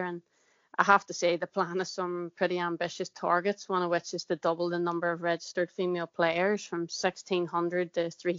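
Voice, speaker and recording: A woman with a Northern Irish accent, a football player answering interview questions in a steady, measured way. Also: on the low side, no room sound, dull audio. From Northern Ireland. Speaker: guest, football player and pundit.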